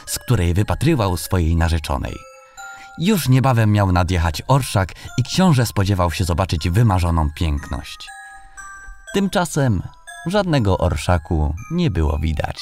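Deep-voiced man narrating a fairy tale. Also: a little mellow. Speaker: audiobook narrator. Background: background music.

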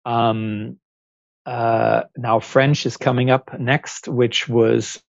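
A man in his forties with a German accent, a guest author speaking in a casual video-call interview, thoughtful and halting with many ums. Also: medium pitch, little pitch movement, gravelly, dry recording, highs cut off. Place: home office, indoors. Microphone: earphone headset on video call.

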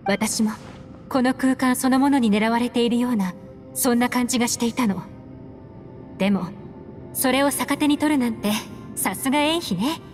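A woman voices a composed, secretive female investigator in an anime game. Her voice sits a little high and her phrasing is measured and poised. Soft music plays underneath. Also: natural voice. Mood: pensive. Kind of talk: Japanese anime game dialogue.

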